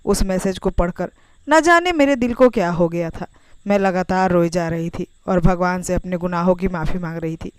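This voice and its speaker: A woman's voice: lowish voice, moderate pace, clean tone, dry recording. A woman reading a scripted, first-person emotional story as its narrator.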